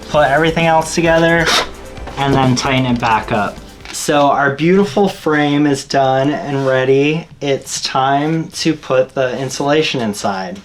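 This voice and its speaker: Casual man hosting a tutorial over music. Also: on the high side. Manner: informal, easygoing. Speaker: host of a DIY build tutorial. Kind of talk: casual hands-on tutorial talk.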